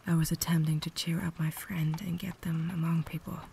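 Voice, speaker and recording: Woman playing a whispering, low, creaky vampire lady. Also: level tone, a little fast. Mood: gentle, reassuring. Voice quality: soft, breathy whisper. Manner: refined, formal, gentle phrasing. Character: cultured, caring vampire lady, secretly holding back. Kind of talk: ASMR roleplay, whispered and intimate. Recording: noticeable background ambience noise.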